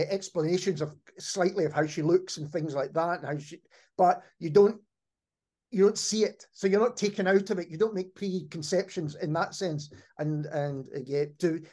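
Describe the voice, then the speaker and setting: A man's voice: sits high, moderate pace, a little mellow. A man in his fifties hosting a podcast, chatting casually and conversationally with his guest. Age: 50s. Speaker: podcast host, interviewer.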